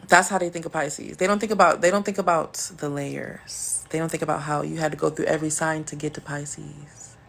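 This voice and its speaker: Deep-voiced woman chatting on a livestream. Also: fairly bright tone. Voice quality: deep, smooth, sultry voice. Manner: playful and chatty, with bursts of high laughter. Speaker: livestream host. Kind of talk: casual livestream chat, answering comments.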